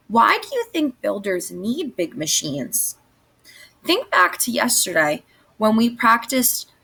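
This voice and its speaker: A woman's voice: on the high side, fairly bright tone, slightly rough. A woman teaching young children, giving instructions and guiding questions in a read-aloud lesson. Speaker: elementary school teacher.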